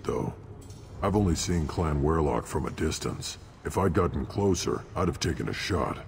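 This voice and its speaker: An actor playing a surly, blunt alien warrior in a video game, gruff and dismissive, with a deep gravelly voice. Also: male voice, darkish tone, exaggerated voice. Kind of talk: video game character acting. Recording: long reverb, clear background noise.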